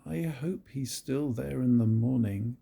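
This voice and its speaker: A man voicing an eager young boy in a storybook reading, sometimes dropping to a hush. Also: mid-range voice, a little slow, creaky. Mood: excited. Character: young boy, excited and kindly.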